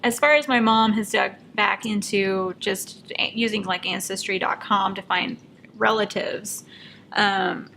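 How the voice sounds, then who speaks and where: A woman's voice: medium pitch, steady tone, unhurried, creaky, bright, forceful tone. A woman co-hosting a show, explaining things in an informal, conversational way. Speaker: show co-host. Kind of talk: casual chat, explaining results. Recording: noticeable background noise.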